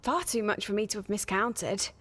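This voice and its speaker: An actress playing a sarcastic, quick-witted young woman in a radio soap. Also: mid-range voice, a bit bright, natural voice. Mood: defensive, sarcastic.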